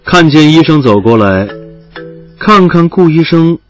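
A man's voice: on the high side, animated, measured pace, music in the background. A man giving scripted narration for an audio drama.

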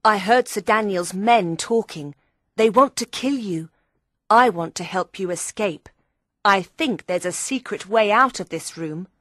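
Storybook heroine for an actress: brave, earnest young lady, lightly high pitch, measured pace, dry close sound. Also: fairly bright tone, no echo. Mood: urgent, secretive. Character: brave, earnest young noblewoman disguised as boy. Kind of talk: dramatized audiobook dialogue.

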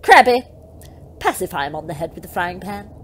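A woman's voice: lowish voice, big pitch swings, fairly quick, fairly bright tone, slight background noise. A woman playing a gleeful, bossy, scheming devil in a comic audio drama, theatrical and gloating.

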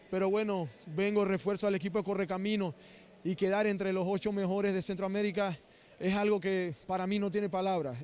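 Panamanian man, high smooth voice. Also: darkish tone. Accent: Panamanian Spanish. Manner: earnest, halting with fillers. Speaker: basketball player. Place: indoor basketball arena. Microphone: handheld mic held by interviewer.

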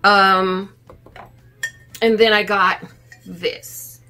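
Casting: middle-aged woman, bright forward voice, casual home haul-video chat, music underneath. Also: mid-range voice, flat delivery, drawn-out words. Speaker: vlogger. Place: furnished living room at home, indoors.

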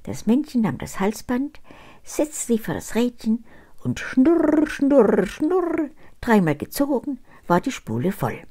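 A woman in her seventies reading a fairy tale aloud at home in an expressive, lively way, with a camera set up at table distance. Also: a little high, slow. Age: older, about 70s. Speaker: storyteller reading fairy tales. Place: cozy home study with bookshelves, indoors.

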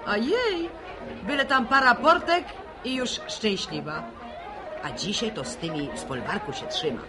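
A woman's voice: fairly high pitch, lively intonation, measured pace, music in the background. An actress playing an earthy village mother in a radio serial, rustic and wry, teasing about men. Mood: wry, disapproving. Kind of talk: Polish radio serial acting. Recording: noisy old radio drama, crowd behind.

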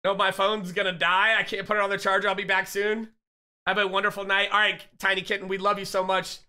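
A man in his thirties streams from home on a headset, shouting excited, profane greetings to his viewers. His voice is high and very bright and forceful, though it is wearing thin as he gets sick. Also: fast talker, clean tone. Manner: loud, excitable, profane shout-outs to chat. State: losing his voice, getting sick. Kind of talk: casual live-stream chat.

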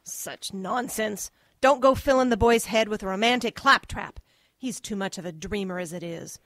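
A no-nonsense English mother acted by a woman in an audio drama. She is brusque and scolding in a medium-pitched voice, recorded close and dry. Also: normal speed, no room sound, lightly acted. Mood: dismissive. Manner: brusque, nagging. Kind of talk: radio drama acting.

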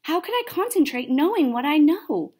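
A woman's voice: high voice, sing-song, normal speed, darkish tone, pitched above the performer's own voice. A young woman voicing a cocky, chatty male pet hamster in a storybook, self-satisfied and asking and answering himself. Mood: wondering.

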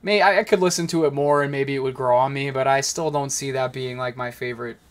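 A man's voice: mid-range voice, fairly even intonation, fast. A young man co-hosting a casual music reaction chat from a small home studio. He rambles loosely, leans on "like" and trails off while hedging his opinions. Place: small dim home studio room, indoors. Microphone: desk microphone, wearing headphones.

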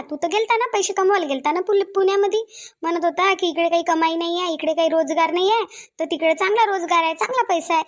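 A woman's voice: extremely high pitch, highs cut off. A woman voicing a lonely older village mother in a cartoon, nagging and repetitive, with a Varhadi Marathi accent from Vidarbha. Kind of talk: cartoon family drama dialogue.

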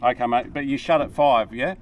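A young Australian man in a relaxed, friendly casual chat, recorded outdoors on a small river boat by an action camera. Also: medium pitch, a little fast. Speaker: fishing vlogger. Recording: distant mic, outdoor noise.

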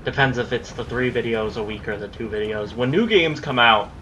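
A man's voice: medium pitch, a little fast, pushed and bright, dull audio, slight background noise. A young man in his late teens rambling casually about his recording plans while playing a game, swearing when things go wrong. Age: young adult. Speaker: gaming YouTuber. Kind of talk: casual commentary over gameplay.

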